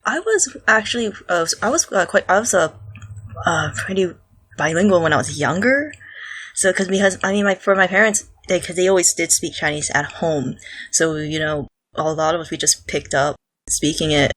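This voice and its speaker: Woman, podcast co-host, halting, restarts and filler words. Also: fairly low pitch, a bit bright. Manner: halting, lots of false starts and fillers. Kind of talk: casual podcast chat.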